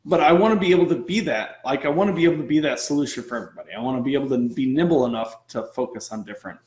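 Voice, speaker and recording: A man, a dentist and company founder, speaking as an interview guest in an animated way, piling up rhetorical questions. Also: medium pitch, rapid-fire. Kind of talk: casual interview conversation. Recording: roomy echo.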